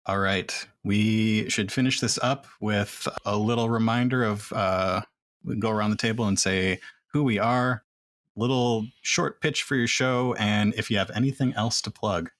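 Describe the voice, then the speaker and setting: Man host, low voice, dry close mic, relaxed moderator of a casual chat. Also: moderate pace, dry recording. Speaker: roundtable host. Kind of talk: casual podcast roundtable.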